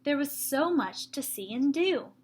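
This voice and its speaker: A woman teacher reading a story aloud to young children, with expressive emphasis and explanatory asides. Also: high-pitched, unhurried.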